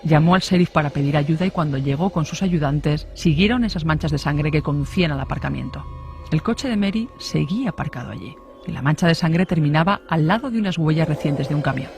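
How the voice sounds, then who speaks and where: Male narrator, fairly high pitch, scripted true crime storytelling. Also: lively intonation, brisk, darkish tone, soft background music.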